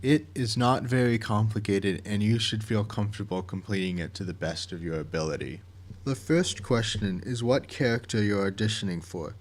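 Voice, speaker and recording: Low-voiced man narrating step-by-step instructions. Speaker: project director giving audition instructions.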